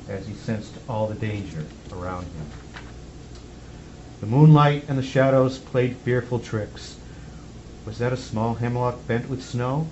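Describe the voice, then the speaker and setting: A man playing Santa Claus reads a children's storybook aloud in an expressive, measured way. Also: medium pitch. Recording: muffled lo-fi sound with faint background noise.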